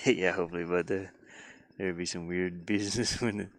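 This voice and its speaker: A man chatting casually on a live stream as he works on a game, halting and muttering his thoughts aloud. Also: deep voice, fairly even intonation. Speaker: hobby game developer streaming his work. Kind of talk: casual live-stream chat while working.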